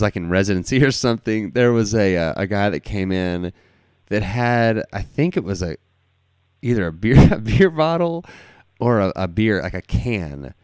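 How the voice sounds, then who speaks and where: A man with a fairly low, clear voice hosts a casual podcast interview. His humour is jokey and crude, and the sound is dry and close. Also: a little mellow, dry recording. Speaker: podcast host.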